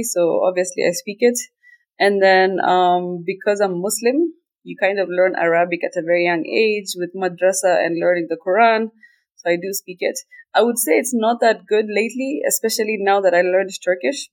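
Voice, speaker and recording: A woman in her twenties from Kenya, a guest in a casual interview who talks in a relaxed way and laughs often. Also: medium pitch. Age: 20s.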